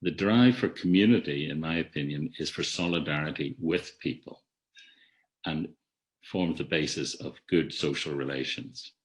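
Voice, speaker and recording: A man with a very low, deep voice lectures on theory in a webinar, heard over a video call. He speaks in a measured, reflective way, often restarting a phrase and letting his voice drop away at the ends of thoughts. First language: not an Irish speaker. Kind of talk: academic talk.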